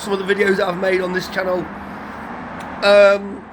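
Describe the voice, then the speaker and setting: Croaky high-voiced man in his fifties. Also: completely flat delivery. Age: 50s. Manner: rambling, guarded, halting with restarts. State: croaky from a cold. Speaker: vlogger. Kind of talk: casual story to camera. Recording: outdoor traffic noise behind.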